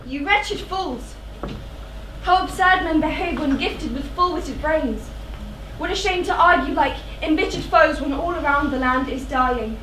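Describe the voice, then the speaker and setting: A woman's voice: sits high, unhurried, pushed and bright, recorded from a distance. A young woman playing a reproachful yet devoted queen in a stage tragedy, dramatic and imploring. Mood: scolding. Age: young adult. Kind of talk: theatrical stage tragedy delivery. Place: theatre stage. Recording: echoey theatre from afar, noisy.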